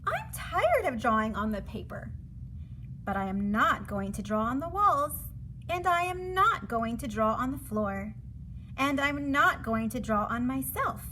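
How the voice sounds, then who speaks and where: A woman's voice: fairly high pitch, very animated, a little slow, pushed and bright, a little hiss or hum, full character voice, poor audio. A woman voicing an eager, insistent little girl who pleads and wheedles in a children's storybook. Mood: bored, then dismayed.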